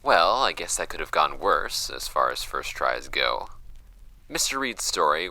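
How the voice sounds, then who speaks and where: A very deep, gravelly novice investigator. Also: male voice, bright, forceful tone, slight background noise, heavily acted, poor audio. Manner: halting, noncommittal. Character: hesitant, discouraged novice male investigator recording interviews. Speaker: interviewer. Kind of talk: audio drama dialogue and recorded log.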